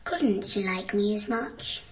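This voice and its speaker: An actress playing a wistful, devoted young boy in a radio drama, speaking in a hushed, pleading way. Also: mid-range voice, slow pace, distant mic, close to an everyday voice.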